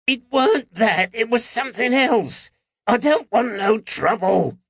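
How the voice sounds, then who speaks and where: A man's voice: very high voice, expressive, unhurried, vocal fry, fairly bright tone, breathy edge, dry recording, muffled recording, pitched above the performer's own voice, heavily acted. A man voicing a snivelling, indignant Cockney moneylender in an audiobook, whining and pleading defensively. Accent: London Cockney. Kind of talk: audiobook character acting.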